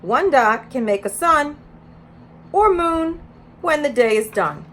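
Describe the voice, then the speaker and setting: A woman's voice: high voice, lively intonation, slow pace, a bit bright, a little hiss or hum. A woman educator giving a read-aloud lesson with teaching tips, speaking in an instructional way and with emphasis when reading. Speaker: early childhood educator.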